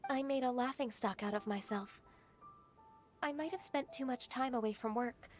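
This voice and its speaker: A woman voicing a composed, formal female secretary in a video game, polite and measured, over game music. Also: a little high, close to an everyday voice.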